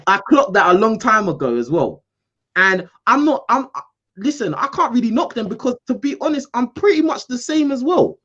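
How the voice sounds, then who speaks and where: A man from the UK, hosting a call-in show in a casual, animated debate, repeating himself for emphasis. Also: extremely high pitch, moderate pace, dull audio. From United Kingdom. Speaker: host.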